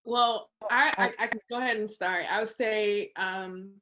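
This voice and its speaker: A woman's voice: medium pitch, steady tone, measured pace, pushed and bright. A woman from Ghana speaking as a panelist, earnest and emotionally open. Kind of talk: panel discussion.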